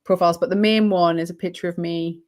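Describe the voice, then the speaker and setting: A British woman, slightly hoarse, chatting as an interview guest in a reflective, rambling way with many ums and restarts. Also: fairly low pitch, fairly even intonation, quick pace, a little mellow. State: slightly hoarse, losing her voice. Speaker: guest, holistic healing coach. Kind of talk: casual interview chat.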